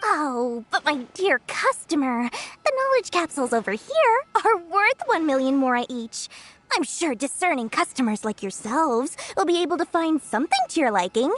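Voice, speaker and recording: A woman's voice: high-pitched, sing-song, moderate pace, fairly bright tone. A woman voicing a small, sly, greedy young female merchant in a video game, with theatrical, ingratiating sales patter. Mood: wheedling, smug. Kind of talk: video game character dialogue.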